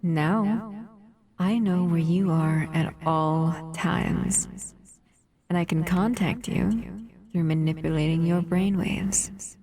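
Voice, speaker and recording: A woman voicing a possessive, doting female monster in an intimate audio roleplay, soft, cooing and teasing. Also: fairly low pitch, slow pace. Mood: intimate, sly.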